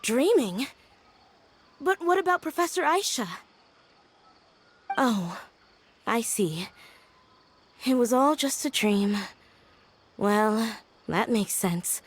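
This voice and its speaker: A woman playing a young adult scholar in a video game, earnest and reflective, speaking in a measured storytelling way. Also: on the high side, expressive, slight background noise. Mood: groggy, puzzled.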